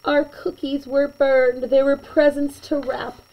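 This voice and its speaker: A woman reading a children's picture book aloud in an expressive way, with chatty asides to young listeners. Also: sits high, highs cut off. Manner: animated, slow and deliberate storytelling, chatting to young listeners.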